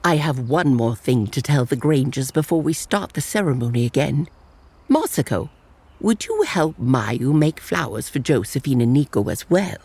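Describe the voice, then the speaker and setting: An actor plays a gentle old tanuki sage from a motion comic. The voice is husky and expressive, rising and falling with care. The delivery is measured and reverent, like an elder telling sacred lore at a ceremony. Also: medium pitch, no room sound. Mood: wise, reverent. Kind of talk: dramatic motion comic voice acting.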